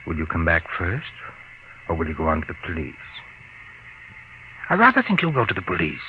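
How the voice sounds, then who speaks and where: An actor playing a smug, possessive, murderous husband in a 1940s radio thriller, suave, condescending and theatrical. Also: male voice, sits low. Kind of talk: 1940s radio suspense acting. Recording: noisy, muffled old radio recording.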